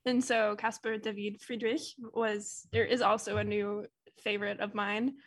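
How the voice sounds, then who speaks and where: A woman's voice: mid-range voice, little pitch movement, moderate pace. A young woman co-hosting a podcast, talking casually with lots of likes and restarts. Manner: rambling, many likes and restarts. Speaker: podcast co-host.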